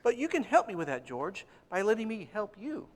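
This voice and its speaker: An actor playing a gentle, fussy elderly male angel in a live radio play on a theater stage, with a chirpy, whimsical manner. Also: sits high, big pitch swings, fairly quick, big-room sound. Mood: earnest. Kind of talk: 1940s radio acting on stage.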